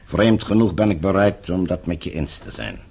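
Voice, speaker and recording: An actor playing a falsely courteous, menacing captor and regime agent in a Dutch radio drama, speaking in measured, formal, condescending phrases. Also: male voice, sits low, vocal fry, warm tone, slightly rough, full character voice. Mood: coolly menacing.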